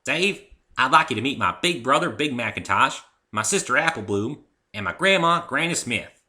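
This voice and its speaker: A man's voice: mid-range voice, lively intonation, normal speed, sharp tone, voice pushed up. A man voicing a friendly young female farm pony with a folksy US Southern drawl in a story reading. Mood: hearty. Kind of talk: dramatic fan fiction reading.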